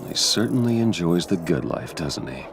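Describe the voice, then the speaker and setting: An actor playing a brooding vigilante billionaire in a video game, grave and measured. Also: male voice, low-pitched, very animated, full character voice. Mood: guarded, focused. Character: brooding younger billionaire vigilante, guarded and resolute. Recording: clear background noise and ambience.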